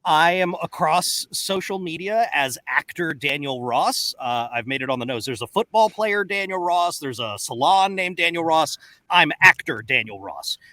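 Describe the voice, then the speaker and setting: A man's voice: on the high side, expressive, moderate pace, bright, forceful tone, dry recording. A middle-aged man chatting casually and playfully as a panel guest. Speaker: panel guest, voice actor and coach. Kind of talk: casual chat.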